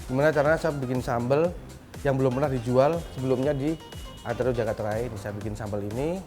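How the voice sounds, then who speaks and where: A man's voice: mid-range voice, fast, darkish tone, faint music behind. A man from Central Java, a restaurant owner explaining things informally to camera. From Magelang, Central Java, Indonesia. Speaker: restaurant owner being featured.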